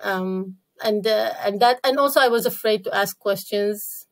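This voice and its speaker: A woman in her thirties from Iraq talks as a podcast guest in a mid-pitched voice. She speaks casually and haltingly, full of fillers and restarted phrases. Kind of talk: casual interview talk.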